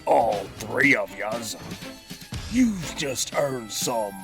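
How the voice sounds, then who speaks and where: A man's voice: medium pitch, very animated, extremely slow, creaky, over music, full character voice. A man voicing a cocky, menacing cartoon master criminal who talks in theatrical street slang while presenting a scripted toy review. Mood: grateful, swaggering. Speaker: host of a toy figure review. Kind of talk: scripted in-character review with radio-drama flair.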